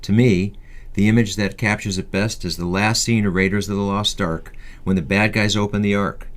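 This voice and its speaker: A man's voice: on the low side, steady tone, normal speed. A man in his fifties reading a scripted first-person memoir as an audiobook narration. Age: 50s.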